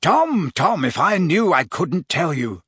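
A man's voice: a little high, huge pitch swings, moderate pace, a bit bright, husky, no room sound, studio quality, heavily acted. A man voicing a smug, indulgent middle-aged teacher with a pompous, teasing manner in a storybook. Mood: jovial, teasing.

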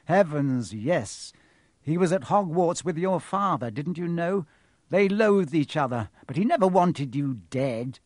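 A man's voice: on the high side, dry recording, studio quality, voice pushed up, natural voice. Man playing a cold, smug villain professor. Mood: casual, dismissive. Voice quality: cold, sharp. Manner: smug, mocking, unhurried. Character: cold, gloating male villain professor, coolly menacing.

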